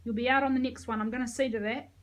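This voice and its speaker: Woman narrator as tough older female sergeant, gravelly, bossy, folksy, motherly, audiobook character. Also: on the high side, steady tone, racing, natural voice. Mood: reassuring. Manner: bellowing orders, folksy endearments. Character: tough, bossy grey-haired woman army reservist, motherly toward kids.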